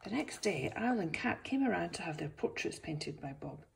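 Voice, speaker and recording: A woman reading a picture book aloud to young children as its author, expressive, with gentle asides. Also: lowish voice, normal speed, creaky, slight background noise.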